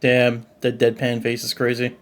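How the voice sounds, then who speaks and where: Casting: woman streamer, very deep voice, short casual sweary game reactions. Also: completely flat delivery, normal speed. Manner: brief casual swearing interjections. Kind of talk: live game reaction commentary.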